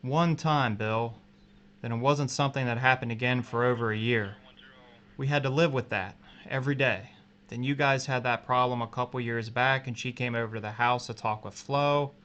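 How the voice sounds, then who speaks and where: A man playing a guilty, frightened coworker in an audio drama, speaking in a halting, emotional way. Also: medium pitch, fairly even intonation, a bit bright, dull audio, close to an everyday voice. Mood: ashamed confessing. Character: guilty, frightened adulterous coworker, pleading then outraged.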